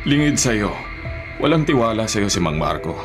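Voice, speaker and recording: A man's voice: lowish voice, big pitch swings, moderate pace, music in the background. An actor playing a righteous, accusing man in a Filipino radio drama, earnest and stern. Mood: stern accusation. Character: righteous, accusing man confronting a criminal woman.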